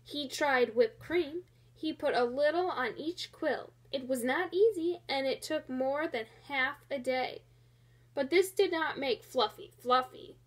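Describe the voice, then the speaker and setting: Slow, high-voiced woman reading a storybook. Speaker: storybook reader. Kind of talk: expressive children's story narration.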